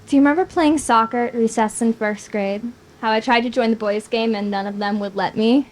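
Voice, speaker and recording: Young woman, acted by a woman, slightly high voice, playful, tender, table-read drama, light background noise. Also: brisk, natural voice. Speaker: actor in a screenplay table read. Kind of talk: live table-read acting.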